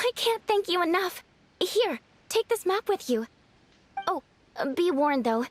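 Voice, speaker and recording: A woman voicing a polite, helpful, small round Baku creature in a video game, explaining things earnestly. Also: high voice. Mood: grateful, helpful.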